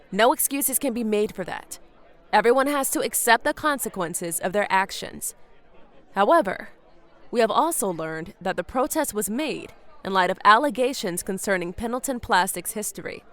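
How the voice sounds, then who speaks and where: A woman playing a composed small-town police chief giving a formal, measured statement in an audio drama, with an American accent. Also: medium pitch, a bit bright, close to an everyday voice. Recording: outdoor crowd behind voice.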